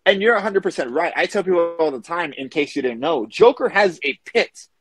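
A man's voice: fairly high pitch, animated, brisk, husky, dry recording. A young adult man talking casually as a guest on a comics podcast. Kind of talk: casual chat.

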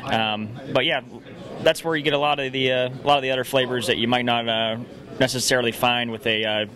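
A man's voice: medium pitch, fairly even intonation, brisk, pushed and bright. A man in his thirties from a restaurant answering interview questions in a pub, friendly and hesitant with many fillers. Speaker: restaurant staff. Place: pub dining room, indoors. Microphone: host's handheld mic. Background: crowd chatter.

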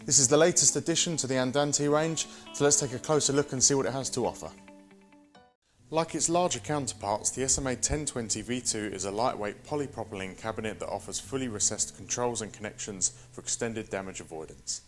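A man in his thirties presenting a scripted product walk-through in a measured, instructional manner. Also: medium pitch, faint music behind.

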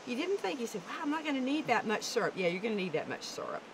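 A woman in her fifties from the American South walks viewers through a recipe in a chatty, instructive way. Her voice sits a little high. She is recorded on a wooded deck through a lapel mic, with outdoor noise clearly audible behind her. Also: very animated, very fast. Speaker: guest cook. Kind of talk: cooking demonstration.

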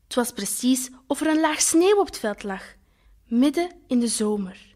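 A woman playing a naive, wistful talking flower in an audio drama, with a Flemish accent and expressive colloquial storytelling. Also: high voice, normal speed. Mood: wistful, tender. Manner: colloquial, expressive, lingering over words.

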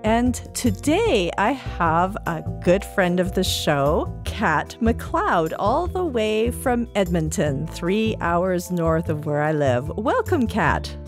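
A woman's voice: fairly low pitch, big pitch swings, slow pace, hardly any pauses, over music. A woman hosting a podcast segment, interviewing in a conversational, prepared style. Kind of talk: conversational interview with read-out passages.

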